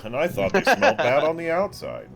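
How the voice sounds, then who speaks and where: Animated male game master. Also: a little high, brisk, creaky, over music. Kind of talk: casual narration of a tabletop fantasy game.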